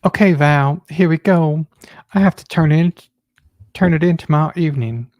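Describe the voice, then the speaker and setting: Co-host, mid-pitched voice, casual chat mixed with reading aloud. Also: moderate pace, a little mellow, no room sound, clean studio sound.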